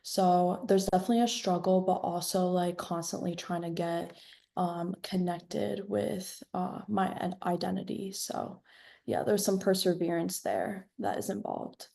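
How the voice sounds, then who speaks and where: A woman's voice: on the low side, measured pace, creaky, dark tone. A young woman, a university student, answering interview questions in a hesitant way with many fillers. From United States. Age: young adult. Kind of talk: casual interview.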